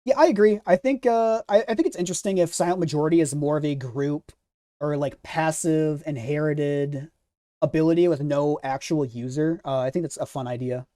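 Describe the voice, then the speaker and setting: A streamer chats casually through fan theories in a soft-edged, mellow voice of medium pitch. The delivery is hesitant, with words repeated as thoughts form. The sound is very dry, with no room around the voice. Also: fairly quick, dry recording. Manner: hesitant, stammering repetition of words while thinking aloud. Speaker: host of a manga discussion stream. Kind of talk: casual theory chat, responding to live comments.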